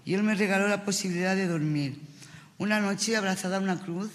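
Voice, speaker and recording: A woman's voice: medium pitch, a little fast. A middle-aged woman from Spain with a Spanish accent, giving a prepared personal testimony as a prison inmate to an assembly. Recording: reverberant large hall, public address.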